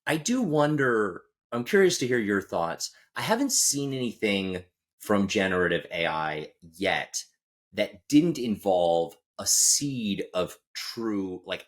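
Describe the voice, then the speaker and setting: A man hosting a podcast who chats casually and thinks aloud while he poses long, open questions to his guest. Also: sits low. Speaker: podcast host. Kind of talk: casual interview chat.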